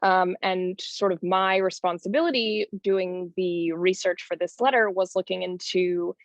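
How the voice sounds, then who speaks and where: A woman's voice: lowish voice, steady tone, a little slow, dry recording. A woman, a panelist on a video call, answering thoughtfully and searching for her words. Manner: thoughtful, searching, many fillers. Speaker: actress, panelist. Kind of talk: thoughtful roundtable discussion. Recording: dry, dull video call audio.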